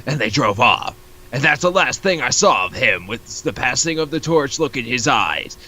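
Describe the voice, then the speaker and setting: A man's voice: medium pitch, sing-song, moderate pace, pushed and bright, heavily acted, rough recording. A man voicing a grief-stricken creature sworn to revenge, solemnly and melodramatically, in a comic reading of a webcomic. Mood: solemn, vengeful.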